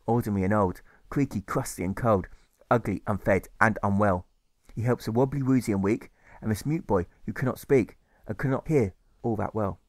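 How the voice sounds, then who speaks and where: A man's voice: medium pitch, no room sound. Man reading rhyming audiobook, dry sound. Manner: measured, rhythmic, stressing rhymes. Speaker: author reading his audiobook. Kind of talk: rhyming scripted narration.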